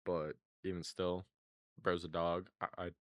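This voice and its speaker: A man's voice: deep voice, level tone, a little slow, no room sound. A man co-hosting a casual sports podcast, chiming in with laid-back, slangy remarks. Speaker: podcast co-host.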